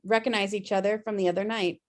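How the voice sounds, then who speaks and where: A woman's voice: mid-range voice, steady tone, fast talker. A woman reading scripted stage directions as narrator of a table read over a video call.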